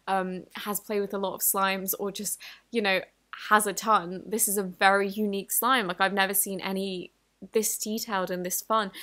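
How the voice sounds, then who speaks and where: Woman doing a chatty casual product review voiceover, bright crisp sounding tone, medium pitch. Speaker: video host, product reviewer.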